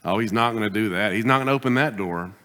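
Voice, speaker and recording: A man preaching a sermon as pastor, pressing his points with rising bursts and then dropping to quiet, deliberate asides. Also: fairly low pitch. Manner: emphatic preaching, swings between loud exhortation and quiet asides. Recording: long hall reverb.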